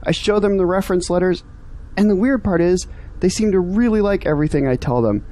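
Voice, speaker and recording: Man acting as a mellow, frustrated young son. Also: high-pitched, brisk, close to an everyday voice. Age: young adult. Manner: earnest, complaining. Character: frustrated young male graduate, earnest, discouraged son. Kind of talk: audio drama dialogue.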